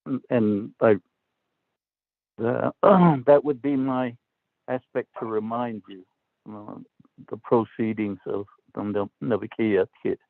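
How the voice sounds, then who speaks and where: A man questions an official in a slow, deliberate and stern way. His voice comes through dry and tinny, as over a phone line. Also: medium pitch, creaky, no echo. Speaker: council delegate. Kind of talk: formal committee questioning.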